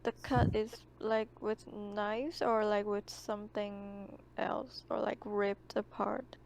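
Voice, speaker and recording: A woman's voice: mid-range voice, little pitch movement, takes it slowly, gravelly, a little mellow. A woman playing a tabletop roleplaying game, asking casual questions about the scene. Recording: faint background noise on the mic.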